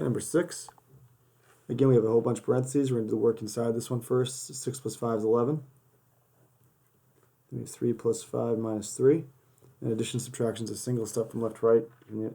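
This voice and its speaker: Man, math teacher, losing his voice, gravelly, mellow tone, step-by-step explaining. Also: medium pitch. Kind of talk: worked-example math lesson. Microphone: camera over a desk filming paper and hands.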